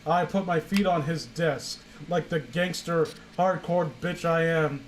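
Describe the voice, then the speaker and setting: Higher-pitched young man, sarcastic and casual. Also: a little slow, noisy background. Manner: sarcastic, sweary, exasperated asides. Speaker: host reading a story aloud. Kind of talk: casual comic commentary while reading fan fiction.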